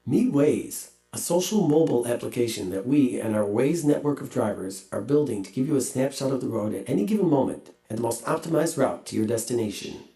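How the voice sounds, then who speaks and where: Mellow man narrating a promo. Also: mid-range voice, lively intonation, fairly quick, hardly any pauses, roomy sound, soft background music.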